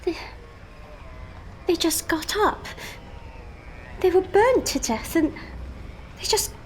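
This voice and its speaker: A woman's voice: very high voice, lively intonation, takes it slowly, slight background noise, full character voice. An actress playing a fragile, horrified Victorian mother with a tremulous, faltering delivery in an audio drama. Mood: horrified, shaken.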